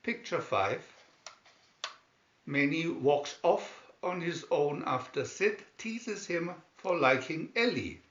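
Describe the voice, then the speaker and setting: A man reading a children's picture-story booklet aloud in scripted narration, deliberately and with pauses. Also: a little high, lively intonation, fairly bright tone. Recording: dull lo-fi, roomy echo.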